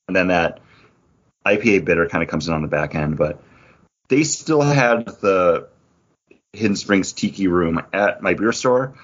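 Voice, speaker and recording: Deep-voiced man chatting on a podcast. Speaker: podcast host. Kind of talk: casual chat. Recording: dull lo-fi podcast audio.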